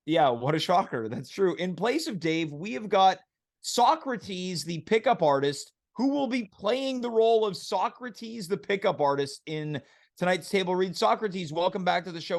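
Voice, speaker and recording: A man with a high voice hosts a live online show. His delivery is very animated and announcer-like, leaning on words with theatrical emphasis. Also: moderate pace. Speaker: host.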